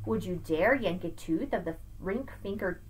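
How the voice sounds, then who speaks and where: A middle-aged woman teacher giving an expressive, emphatic read-aloud lesson for children, recorded on a webcam in a room at home. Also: lowish voice, normal speed, slight background noise, poor audio. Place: small room at home with bookshelves, indoors. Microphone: webcam at arm's length.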